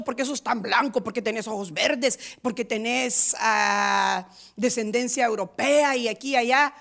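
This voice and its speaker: A woman pastor preaching a sermon in a rhythmic, repetitive style, moving between loud stressed lines and quiet asides. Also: high-pitched, vocal fry. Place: church in San Diego.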